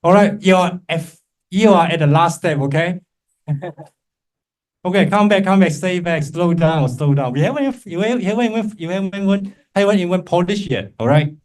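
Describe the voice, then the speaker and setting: A man's voice: high voice, animated, moderate pace, a little mellow. A man teaching a class as a Buddhist monk, informal and encouraging, often checking in with short tag questions. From Malaysia. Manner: encouraging, informal, frequent check-in tags like "right?" and "okay?". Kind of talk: interactive lecture to a class with remote listeners.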